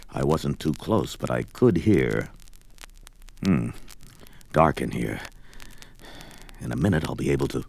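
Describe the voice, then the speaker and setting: An actor playing a bold, defiant barbarian prisoner in a 1970s audio drama, talking dramatically and thinking aloud. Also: male voice, rumbling low, gravelly, a little hiss or hum, exaggerated voice. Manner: dramatic, declamatory. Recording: vintage record drama with music behind.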